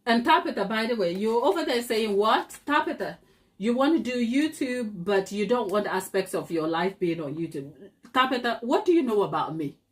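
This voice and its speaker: A woman's voice: fairly high pitch, expressive, moderate pace. Emphatic Ghanaian woman on a live stream. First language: not English. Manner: emphatic, talks straight to viewers, rhetorical questions. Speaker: cake maker and channel host. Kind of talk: live stream chat to viewers.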